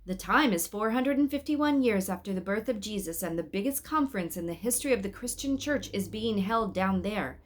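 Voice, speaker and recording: Woman reading as a patient, measured angel guide. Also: medium pitch, close to an everyday voice. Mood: explaining.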